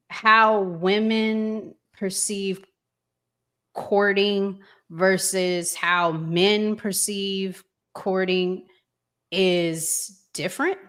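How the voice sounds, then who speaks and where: A woman hosting a casual interview chat, putting questions to her guest with deliberate, inquiring phrasing. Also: mid-range voice, a bit bright, clean tone. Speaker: show host.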